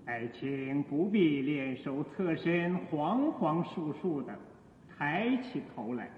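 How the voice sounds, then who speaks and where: An actor playing a lecherous, imperious emperor in a theatrical radio drama, with pompous, drawn-out courtly speech and a coaxing, self-satisfied air. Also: male voice, on the high side, sing-song, slight background noise. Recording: muffled phone-like audio, long echoing reverb.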